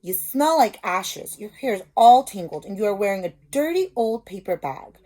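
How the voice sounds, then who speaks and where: A haughty storybook prince, played by a woman reading aloud, speaks at a middling pitch. Each scolding phrase comes out slowly and with snooty emphasis, fussing over appearances. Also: lively intonation, warm tone, close to an everyday voice, poor audio. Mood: haughty, disgusted. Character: haughty, scolding prince, fussy about looks.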